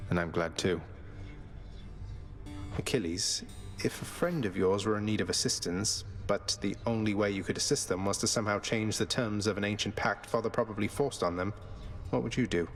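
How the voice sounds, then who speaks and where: A man's voice: fairly low pitch, normal speed, faint music behind, lightly acted. A man playing a young rebellious underworld prince in a video game, wry, polite and kindly. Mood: wry, earnest. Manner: polite, dry, casual. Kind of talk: video game character dialogue.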